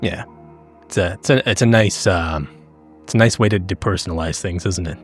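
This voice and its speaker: A man improvising in character in a tabletop game, with a blunt, sweary and halting casual delivery. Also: low-pitched. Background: background music.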